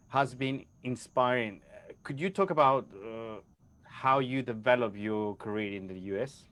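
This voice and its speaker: A man's voice: medium pitch, no room sound. Man, mid-range voice, show host, casual interview chat, effusive, repetitive phrasing, dry close sound. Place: indoors on a video call.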